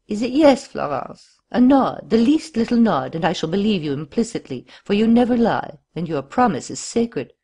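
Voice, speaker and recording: A woman voicing a murderous crippled man who lurches between frantic pleading and furious shouting in an audiobook. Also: medium pitch, sing-song, normal speed, hardly any pauses, husky, voice pushed up. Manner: wild swings from pleading to shouting.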